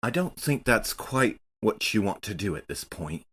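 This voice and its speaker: Low-voiced man playing a game. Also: big pitch swings, moderate pace, fairly bright tone. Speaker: tabletop game player. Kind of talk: casual, joking game talk.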